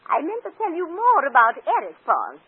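An actress playing an imperious, vengeful former ballerina in an old-time radio drama, commanding and coolly menacing, on a tinny old recording. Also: female voice, high-pitched, wildly swooping pitch, fairly quick. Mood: coolly scheming.

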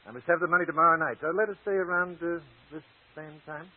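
An actor playing a smooth, calculating blackmailing doctor in 1940s radio drama style, mock-polite, deliberate and condescending. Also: male voice, fairly high pitch, steady tone, natural voice. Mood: quietly menacing. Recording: muffled, lo-fi old radio recording.

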